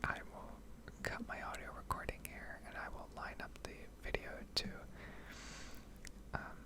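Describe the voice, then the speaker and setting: Whispering woman, casual ASMR ramble. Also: moderate pace. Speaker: game streamer and ASMR video creator.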